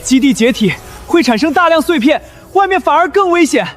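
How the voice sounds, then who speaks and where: A man's voice: very high-pitched, expressive, a little fast. A man playing an anxious, analytical young survivor in a motion-comic drama, talking in an urgent, questioning way. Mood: worried. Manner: urgent, questioning, easily alarmed. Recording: background music behind the voice.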